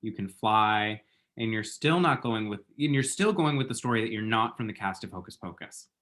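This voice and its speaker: Man acting awkward, halting young guy. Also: fairly low pitch, expressive, a bit bright. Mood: playful banter. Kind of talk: naturalistic romantic comedy table read.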